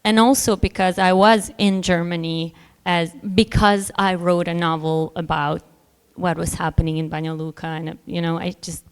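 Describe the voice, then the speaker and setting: A woman from Bosnia speaks on a festival panel in a smooth, clear voice that sits a little low. She picks her words slowly, filling gaps with small sounds and brief laughs. The sound carries a long hall reverb. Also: little pitch movement. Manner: thoughtful, frequent ums, laughs between points. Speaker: panel guest, novelist. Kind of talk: panel discussion answer.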